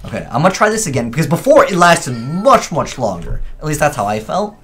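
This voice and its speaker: A man's voice: fairly high pitch, very animated, brisk, fairly bright tone. A man giving casual, off-the-cuff gameplay commentary, thinking aloud and questioning himself as he goes. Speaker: gaming video creator.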